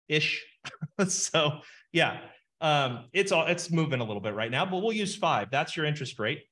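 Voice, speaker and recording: A man in his forties talks through money math in an animated, teacherly way, tossing in quick asides as he goes. His pitch sits in an ordinary middle range. He speaks over a webcam on a video call from a home office. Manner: animated, explains with numbers and asides. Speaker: financial planner. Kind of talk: webinar teaching session.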